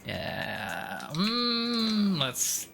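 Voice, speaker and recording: Creaky-voiced man muttering through gameplay. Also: sits high, huge pitch swings, very slow, noisy background. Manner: muttering, self-deprecating, hesitant, mildly sweary. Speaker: gaming streamer playing through a game. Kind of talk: casual gameplay commentary, thinking aloud. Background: game music.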